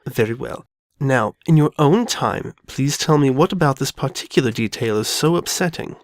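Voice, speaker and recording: A man's voice: mid-range voice, lively intonation, moderate pace, no echo, studio quality, natural voice. A man voicing an elegant, genteel female pony with refined, theatrical and formal diction in a dramatic fan fiction reading. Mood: composed, concerned.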